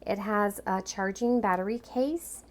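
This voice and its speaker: Matter-of-fact woman, medium pitch. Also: unhurried. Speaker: product reviewer. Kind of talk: conversational video review.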